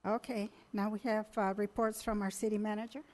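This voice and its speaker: A woman mayor chairing a city council meeting, calling each item in a brisk, procedural way. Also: mid-range voice, flat delivery, darkish tone. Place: council chamber.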